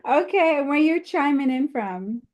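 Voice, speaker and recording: A woman's voice: high-pitched, animated, moderate pace, darkish tone. A woman hosting a live stream interview, laid-back and laughing along with her guests. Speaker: host.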